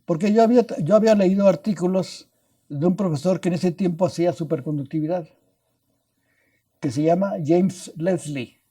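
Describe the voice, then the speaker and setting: An older man with a Mexican accent tells stories as a guest on an interview podcast. His voice sits a bit high but sounds deeply mellow and dark. He rambles, repeats himself, and tags his sentences with little questions. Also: moderate pace. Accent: Mexican Spanish. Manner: rambling, restarts words, adds tag questions. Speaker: guest scientist. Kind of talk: anecdotal storytelling in a podcast interview.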